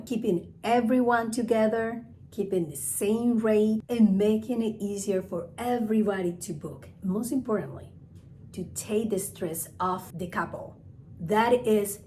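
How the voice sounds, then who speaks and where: A woman's voice: mid-range voice, darkish tone. A woman who is a native Spanish speaker with a Spanish accent, giving an instructional talk as a trainer, emphatic and repeating key phrases. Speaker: travel agent and group trainer. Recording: clearly noisy recording with ambient sound.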